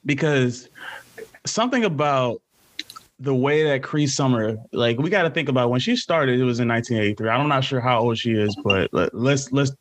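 A man's voice: medium pitch, moderate pace. A man co-hosting a podcast in casual chat, slangy, profane and enthusiastic. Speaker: podcast co-host.

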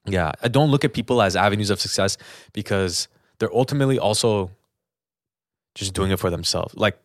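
A man's voice: fairly low pitch, fairly quick, no room sound, clean studio sound. A man co-hosting a podcast, talking casually and candidly in an earnest, explanatory, colloquial way. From lives in Los Angeles. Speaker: podcast co-host.

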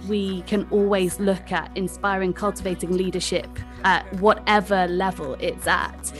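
A woman's voice: mid-range voice, steady tone, measured pace, faint music behind. A woman speaking in an enthusiastic, conversational way in an interview clip.

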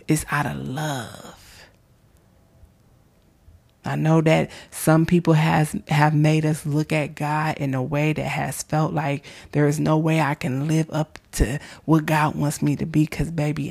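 A woman podcast host who is losing her voice, giving gentle faith-based encouragement in a hoarse, soft-spoken way that often drops into whispers. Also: low voice. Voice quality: hoarse, gravelly.

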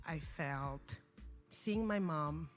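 A young woman from Cameroon whose first language is French, speaking as a talk show guest in an earnest, thoughtful way with pauses and restarts. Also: low-pitched, faint music behind. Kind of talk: interview conversation.

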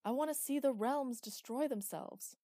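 A woman voicing a reckless, bloodthirsty man who shouts and taunts loudly. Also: medium pitch, brisk, dry recording, clean studio sound, lightly acted. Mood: gleeful, taunting. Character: reckless bloodthirsty young man, gleeful and crude.

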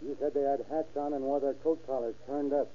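Man playing blunt distrustful policeman, rapid accusing delivery, tinny vintage radio drama. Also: mid-range voice, fairly even intonation, lightly acted. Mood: suspicious. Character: brisk, distrustful male police officer. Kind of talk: 1940s radio crime drama acting.

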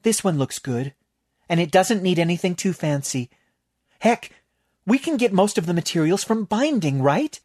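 Man doing a reckless young woman, raised voice, eager, breezy, lively, dry audiobook. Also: high voice, moderate pace, no echo, pitched above the performer's own voice.

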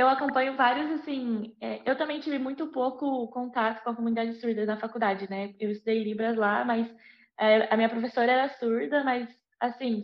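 A young woman with a Brazilian Portuguese accent interviewing, asking long rambling questions filled with tag words. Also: a little high. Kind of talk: conversational interview. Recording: dull lo-fi audio.

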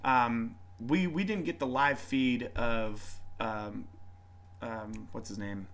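A man's voice: mid-range voice, steady tone, a bit bright. A man co-hosting a casual podcast, rambling and joking. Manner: rambling, joking, with quick put-on voices. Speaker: podcast co-host. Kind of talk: casual chat.

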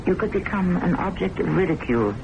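An actress in an old-time radio drama playing a prim, devoted secretary, formal and precise, quietly disapproving and anxious. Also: female voice, lowish voice, animated, fairly quick, creaky. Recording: dull, noisy old radio recording.

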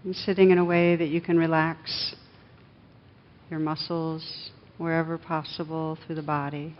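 A woman leading a guided meditation as the teacher, speaking in soothing, measured short phrases with pauses. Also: level tone. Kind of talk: guided meditation, slow instructive speech. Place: a room with a live group. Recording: dull lo-fi recording, clear background rumble noise.

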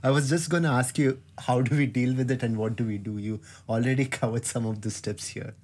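A man asks his questions in a relaxed, slightly halting way. His voice is husky and sits at an everyday pitch. Soft laughs break up his phrases. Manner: friendly, hesitant, laughing softly between phrases. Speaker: interviewer. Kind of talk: casual interview chat.

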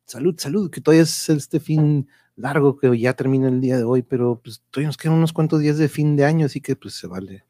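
A man with a Mexican Spanish accent and a medium-pitched voice hosts a casual live stream. His talk is slangy and loosely strung together, wandering from point to point. The sound is close and dry. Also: fast talker, mellow, no echo. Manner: informal, slangy, rambling. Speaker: host of an online radio drama show.

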